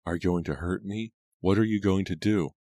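A man's voice: sits low, moderate pace, warm tone, no echo, pitched above the performer's own voice, natural voice. Terrified captive girl played by a man, pleading and tearful, voice lifted well above his natural register, close dry sound. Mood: panicked.

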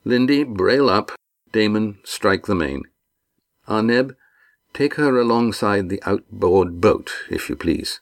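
Steady young leader, polite orders, low voice, dry, voiced by a man narrator. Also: takes it slowly, no echo. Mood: commanding.

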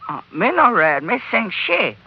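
A man playing a helpful Chinese trader in a vintage radio adventure, with a put-on Chinese accent and halting, deferential pidgin. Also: high-pitched, wildly swooping pitch, creaky, slightly rough, heavily acted. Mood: solicitous. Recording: dull old radio broadcast.

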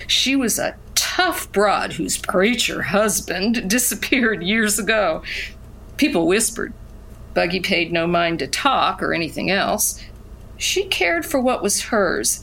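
An actress playing a plainspoken middle-aged country woman, wistfully reminiscing in an audio drama with pauses. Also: medium pitch, a bit bright, full character voice, rough recording.

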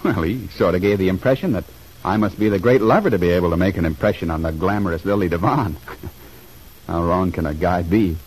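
A man's voice: deep voice, fairly quick, a little mellow, slightly rough. A man playing an eager, modest young dreamer in an old-time radio soap, bashful and hesitant, on a faintly noisy old recording. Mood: self-deprecating amusement.

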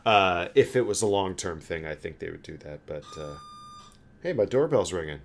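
A man hosting a podcast, chatting casually about sports in a halting, conversational way. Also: low voice, expressive. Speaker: podcast host.

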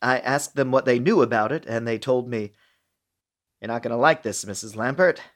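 A man's voice: mid-range voice, moderate pace. A man playing a grave, concerned government official, heard through a telephone filter in a radio drama. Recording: thin, tinny telephone line.

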